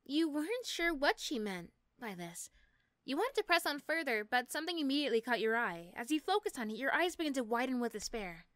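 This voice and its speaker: A woman's voice: sits high, sing-song, brisk, fairly bright tone, dry recording, clean studio sound. A woman narrating a scripted second-person roleplay story.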